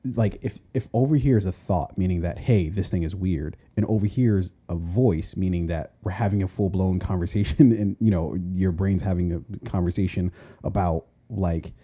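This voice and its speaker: A man with a very dark, low-set voice talks through an idea on a podcast. He rambles, restarts his sentences and drops casual swearing as he works out his point. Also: moderate pace, husky. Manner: rambling, profane, restarts often. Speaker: podcast co-host. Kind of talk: casual chat.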